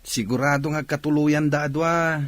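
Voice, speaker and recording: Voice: medium pitch, steady tone, normal speed, natural voice. An actor playing a playful villager gossiping in a radio comedy drama. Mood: teasing.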